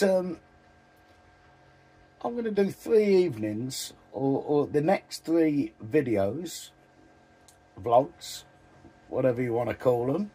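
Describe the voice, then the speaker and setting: An older man with a husky voice, chatting casually and haltingly to camera as a vlogger. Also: a little high, mellow. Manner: halting, rambling. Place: small dim vehicle interior.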